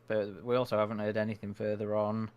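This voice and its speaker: A man's voice: on the low side, flat delivery, a little fast, clean tone, dull audio. A man, co-hosting a live game stream, talking in a thoughtful, hesitant way with many fillers. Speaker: stream co-host. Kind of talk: casual gaming chat.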